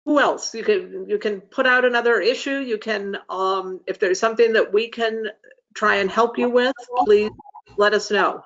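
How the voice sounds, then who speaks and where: An American woman moderates an online discussion. Her voice sits at a middle pitch. The recording is a little muffled, like meeting-call audio. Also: normal speed, fairly bright tone.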